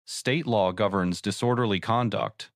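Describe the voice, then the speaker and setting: Guy narrating legal breakdown, lowish voice, really dry clean sound, formal and measured. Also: no echo. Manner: formal, measured explanatory delivery. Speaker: narrator giving legal analysis. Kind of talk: scripted documentary narration.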